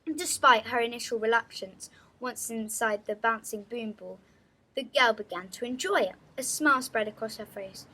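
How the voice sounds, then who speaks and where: A woman reading a children's book aloud as an expressive storytelling narrator. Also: fairly high pitch, moderate pace, slightly rough, low-quality recording.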